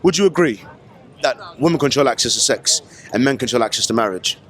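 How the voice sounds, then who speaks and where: A man's voice: medium pitch, lively intonation, fast talker, a bit bright. A man interviewing people at an outdoor festival, chatty and teasing, with slangy filler and repeated phrases. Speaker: street interviewer. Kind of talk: casual street interview.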